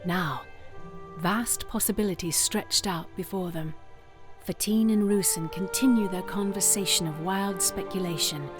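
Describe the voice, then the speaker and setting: A woman narrating a fantasy audio drama in a measured, descriptive storytelling manner. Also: lowish voice, over music, natural voice.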